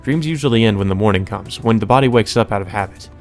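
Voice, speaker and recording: A man's voice: lowish voice, fast talker, faint music behind. A man reading visual novel narration and dialogue aloud as a let's player.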